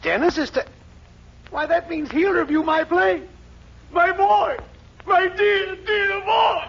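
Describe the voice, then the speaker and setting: An actor playing an excitable, blustering theater producer in a 1940s radio comedy, flustered and exclamatory, on a muffled vintage recording. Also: male voice, very high-pitched, slow, creaky, full character voice. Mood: overjoyed. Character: excitable, blustering male theater producer, easily outraged or overjoyed.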